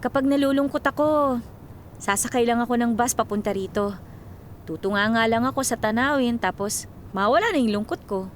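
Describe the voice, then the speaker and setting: An actress with a Filipino accent playing a devoted, hardworking young migrant worker and encouraging best friend in a radio drama. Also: female voice, on the high side, brisk, a little hiss or hum, natural voice. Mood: warm, caring. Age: young adult.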